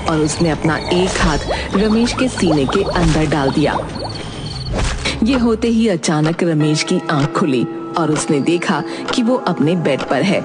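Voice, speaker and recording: A woman narrates a scripted horror tale as storyteller. Her voice sits fairly low, with clear music behind it. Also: expressive, a little slow.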